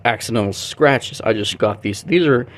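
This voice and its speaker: A man's voice: mid-range voice, lively intonation, moderate pace. A young American man chatting casually and thinking aloud as he demonstrates something, in a rambling way. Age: young adult. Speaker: vlogger, record collector. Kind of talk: casual chat while demonstrating. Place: small bedroom, indoors.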